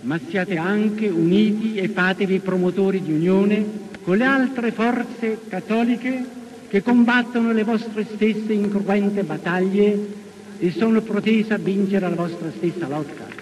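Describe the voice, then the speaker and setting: High-pitched man orating in an echoing hall. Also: measured pace, a little mellow. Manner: solemn, exhortatory. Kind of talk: formal oratorical public address to an assembly. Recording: echoey hall archive speech, faint noise.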